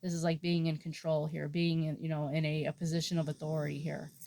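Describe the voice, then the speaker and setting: A woman reading tarot in a soft, halting way. She sounds nasal and has a sore throat. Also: low-pitched, flat delivery, gravelly. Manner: soft-spoken with frequent fillers and restarts. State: sore throat, under the weather. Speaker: tarot reader. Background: dog snoring.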